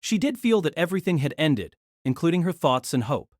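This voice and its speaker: Woman reading a novel out loud, dramatic storyteller, really lively pitch, dry recording. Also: a little fast, no echo. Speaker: narrator. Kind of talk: scripted novel narration.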